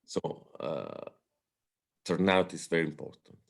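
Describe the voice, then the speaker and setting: A man's voice: a bass voice, lively intonation, a little slow, creaky. A man with a strong Italian accent giving a talk in English, hesitant, with many fillers and restarts. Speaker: guest speaker on democracy. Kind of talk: lecture-style talk.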